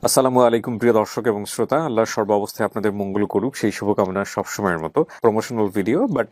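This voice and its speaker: A man hosting an interview, asking quick probing questions and chiming in with short agreements. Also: on the low side, a little mellow, no room sound, clean studio sound. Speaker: interviewer and host. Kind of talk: conversational interview.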